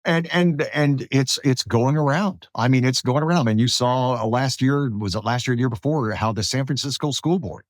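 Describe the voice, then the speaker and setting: An American man hosting a podcast, talking politics casually in a halting way, repeating words as he goes. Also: mid-range voice, no echo, studio quality. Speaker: podcast host.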